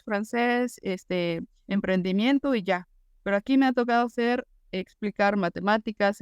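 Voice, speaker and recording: A woman's voice: mid-range voice, smooth, no room sound, studio quality. A woman in her thirties with a Mexican Spanish accent, a teacher answering interview questions in a chatty way, full of fillers like "o sea" and "este". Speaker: teacher and master's student, guest.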